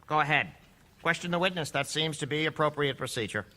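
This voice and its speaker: An actor playing a male judge with dry, measured authority in an audio drama. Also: a little high, pushed and bright. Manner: measured, dryly amused courtroom authority.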